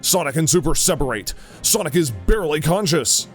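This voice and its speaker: A man's voice: fairly high pitch, animated, a little fast, faint music behind. A man reading scripted narration for an audio drama.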